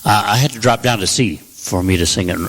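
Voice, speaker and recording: A man's voice: fairly low pitch, brisk, a bit bright, low-quality recording. A man hosting a radio interview show, asking questions in a friendly, encouraging way. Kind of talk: casual interview chat.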